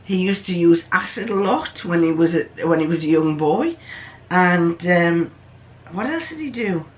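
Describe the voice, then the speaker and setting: An older host with a deep voice, chatting casually in a rambling, digressive and matter-of-fact way. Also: expressive, unhurried. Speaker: video host.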